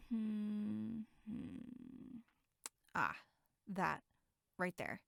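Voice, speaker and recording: A woman's voice: mid-range voice, creaky, darkish tone, dry recording, studio quality. A woman acting in an audio drama as a flippant young camp counselor, with a sarcastic, blunt and casual way of talking. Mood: focused.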